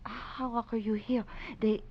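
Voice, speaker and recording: An actress playing the uneasy French sweetheart of a runaway convict, halting and nervous, in 1940s radio drama style. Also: female voice, on the high side, level tone. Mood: startled, uneasy. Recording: muffled old radio recording.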